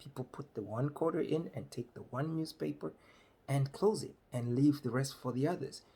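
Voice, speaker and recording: Middle-aged man from Malawi, husky voice, animated storyteller at a webcam. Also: mid-range voice, moderate pace. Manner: animated, gestures a lot while telling. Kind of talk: personal storytelling. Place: small indoor room.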